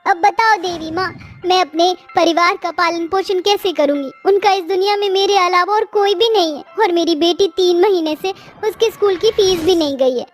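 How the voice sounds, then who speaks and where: A woman puts on a kind, emotional young bangle seller from a storybook. The voice is extremely high and expressive, with faint music behind. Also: moderate pace. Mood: distressed.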